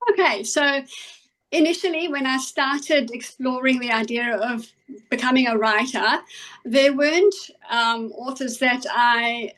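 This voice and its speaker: A middle-aged South African woman answers interview questions over a video call. Her voice sits a touch high, and she speaks thoughtfully, stopping often to gather her words. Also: a bit bright, low-quality recording. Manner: thoughtful, with frequent ums and pauses. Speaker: guest author. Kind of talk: conversational interview.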